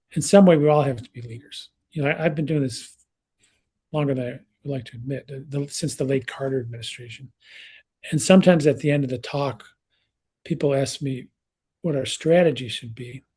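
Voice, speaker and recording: Husky-voiced man in his sixties. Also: mid-range voice, normal speed, dark tone. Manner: conversational, many restarts and fillers. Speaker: researcher and speaker. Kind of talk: panel talk.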